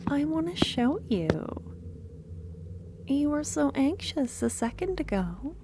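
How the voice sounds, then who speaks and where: A woman acts out a loving, possessive female cultist in an ASMR roleplay. Her voice is high and soft, drifting into breathy whispers as she coaxes very slowly. Faint noise sits behind her. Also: very animated, heavily acted. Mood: eager, coaxing.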